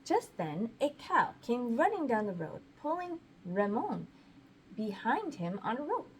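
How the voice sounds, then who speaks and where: A woman with a fairly high, very animated voice reads a picture book to children. She is informal and chatty, breaking off for asides, with faint noise in the background. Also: a little slow, rough recording. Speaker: read-aloud host and parent. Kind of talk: casual children's picture-book read-aloud.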